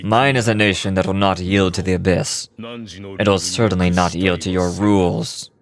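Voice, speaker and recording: A man's voice: low-pitched, steady tone, moderate pace. An actor playing a resolute male leader in a fantasy game, speaking in a solemn, declamatory manner. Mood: defiant. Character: resolute male hero-leader bargaining for his people. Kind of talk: fantasy video game voice acting. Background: music.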